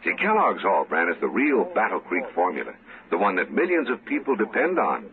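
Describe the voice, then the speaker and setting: Animated American radio announcer man, tinny sound. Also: sits low. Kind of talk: 1950s scripted radio announcing. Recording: narrow-band old radio recording.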